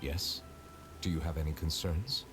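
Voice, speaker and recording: Reserved male judge; very low, measured, formal. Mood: composed. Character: calm, reserved male chief justice. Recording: game music behind.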